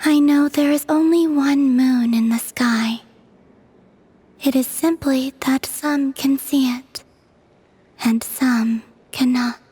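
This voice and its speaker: A woman voicing a serene, melancholy young moon goddess, breathy and whispery, speaking softly and poetically in a video game dub. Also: sits high, fairly even intonation, slight background noise. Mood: wistful. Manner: soft, slow and poetic.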